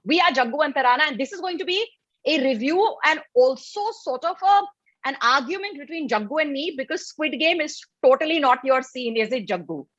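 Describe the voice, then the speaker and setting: A woman with an Indian English accent co-hosts a casual review podcast, talking in a lively, opinionated way. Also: high-pitched, a little fast, no echo. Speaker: podcast co-host. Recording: dull, dry lo-fi audio.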